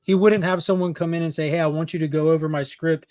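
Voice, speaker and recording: A man's voice: fairly high pitch, steady tone, fast talker, darkish tone, dry recording. A man on a casual pop culture panel, laying out his opinions in long explanations.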